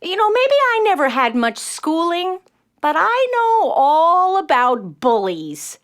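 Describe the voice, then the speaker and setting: An elderly grandmother in a children's audio play. Her voice is high and very lively in pitch, and she takes her time. She is warm, doting and folksy, full of wonder and emphatic. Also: slow, exaggerated voice. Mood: firm, protective.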